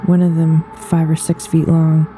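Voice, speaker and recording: A woman narrates a horror story in a very dark, mellow voice. Her delivery is solemn and measured, with pitch held fairly flat. Clear music plays behind her reading. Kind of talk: scripted horror story narration, read aloud.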